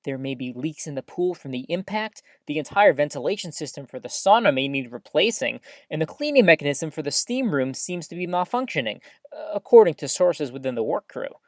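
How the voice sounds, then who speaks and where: A woman's voice: deep voice, sing-song, a little fast, a little mellow, no echo, studio quality. An actress playing an earnest, cheery woman who hosts a small-town community radio show, with a folksy announcing style. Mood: earnest, newsy. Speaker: radio host.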